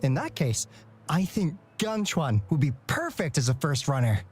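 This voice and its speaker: A young relay racer in a video game, cautious and a little wistful. The delivery is polite and tentative, with pitch that moves around a lot, over soft background music. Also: male voice, very animated, moderate pace, husky. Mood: thoughtful, polite.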